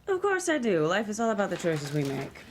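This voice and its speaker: A woman's voice: mid-range voice, huge pitch swings, brisk, slight background noise. A woman playing a proud, resentful media mogul in a script table read, dry, indignant and emphatic. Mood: dry, defiant. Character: proud, resentful female media mogul, defiant under pressure. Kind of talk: table read of a screenplay, dramatic dialogue.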